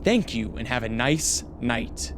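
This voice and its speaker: A man narrating a scripted radio drama in a measured storytelling manner. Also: medium pitch, lively intonation, very noisy.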